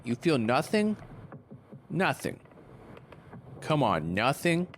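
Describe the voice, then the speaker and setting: A man's voice: fairly high pitch, wildly swooping pitch, takes it slowly, exaggerated voice. A man acting in a conversational stage drama, playing a glib, crude, wounded ex-lover who rambles and needles. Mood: hurt. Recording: faint background noise.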